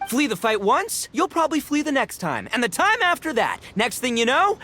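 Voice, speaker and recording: A man's voice: extremely high pitch, big pitch swings, normal speed, bright, forceful tone, a little hiss or hum, exaggerated voice. An actor voicing a brash, dramatic young male oni gang boss in a video game, theatrical and bombastic in delivery. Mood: rousing, earnest. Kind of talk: animated video game voice acting.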